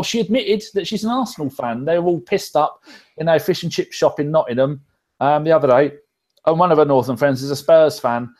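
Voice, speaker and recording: A man's voice: mid-range voice, a little mellow. A middle-aged man hosting a football podcast, chatting in a jokey, rambling, sweary way over a video call. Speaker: podcast host. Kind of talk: casual football banter. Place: home room.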